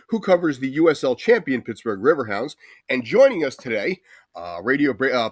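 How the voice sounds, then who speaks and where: A man's voice: medium pitch, no echo, studio quality. A man hosting a casual sports podcast interview, talking in an informal, chatty way. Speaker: podcast host.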